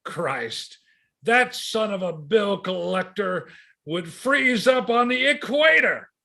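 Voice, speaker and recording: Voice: mid-range voice, expressive, slow, gravelly, sharp tone, heavily acted. A performer playing an irascible middle-aged father in a readers theatre comedy, blustering and sputtering mock curses. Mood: irritated.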